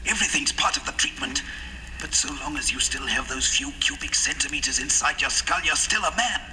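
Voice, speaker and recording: A man's voice: a little high, brisk, pushed and bright, slight background noise, poor audio. An actor playing a steadying man who earnestly urges endurance, in old-time radio drama style. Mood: urgent, steadying. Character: steadying man urging endurance under torture.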